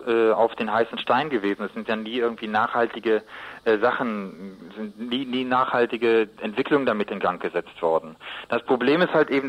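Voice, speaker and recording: Halting man explaining in an interview. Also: medium pitch, dull audio. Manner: halting, repeats words while searching for phrasing. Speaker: interviewed expert on Nicaragua. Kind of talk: spontaneous explanatory radio interview.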